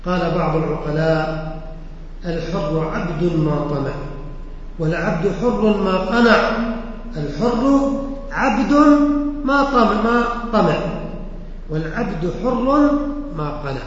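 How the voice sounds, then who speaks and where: A man teaching a religious lesson who recites verses slowly, repeats them and explains their words with questions to his listeners. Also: high-pitched, expressive, a little hiss or hum. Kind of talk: lesson explaining poetry and sayings. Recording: echoey hall, muffled lo-fi recording.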